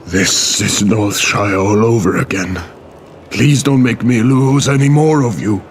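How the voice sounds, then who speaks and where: A man playing a grave, noble, weary human knight commander in a fantasy game cutscene, solemn and gravelly. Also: slight background noise. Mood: pained. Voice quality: low, gravelly. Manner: slow, solemn, burdened.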